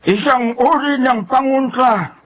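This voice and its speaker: An actor with a Filipino accent playing a grave, commanding folk healer in a radio horror drama. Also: male voice, extremely high pitch, slow, lo-fi sound. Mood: solemn warning. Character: grave, commanding male folk healer fighting evil spirits.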